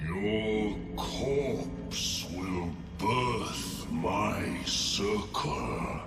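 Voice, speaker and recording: Very slow, echoing fungal sovereign. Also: male voice, on the low side. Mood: menacing. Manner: slow, ceremonial, incantatory phrasing. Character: solemn, grandiose fungal sovereign creature, vengeful and ancient. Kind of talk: cinematic fantasy video game acting. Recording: roomy echo under loud music.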